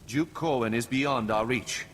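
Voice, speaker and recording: An actor playing a scheming, formal aristocrat in a video game, speaking in a grave, measured manner. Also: male voice, mid-range voice, a bit bright, close to an everyday voice. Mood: grave, resigned. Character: scheming, formal male aristocrat hiring a killer. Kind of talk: scripted video game acting. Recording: reverberant hall, faint noise.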